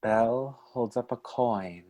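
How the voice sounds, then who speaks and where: A narrator reading stage directions for a radio play in an unhurried, even storytelling manner. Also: medium pitch.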